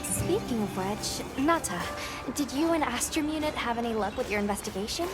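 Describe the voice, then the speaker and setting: A woman's voice: a little high, animated, normal speed, music in the background, natural voice. A woman playing an earnest, polite young expedition handler in a video game, courteous and articulate. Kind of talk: video game cutscene acting.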